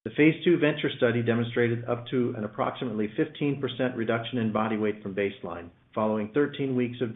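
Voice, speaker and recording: A man in the role of company executive reads a scripted earnings presentation in a formal, measured way. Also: on the low side, phone-quality sound. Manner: formal, measured read-out delivery. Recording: roomy, slightly band-limited conference call line.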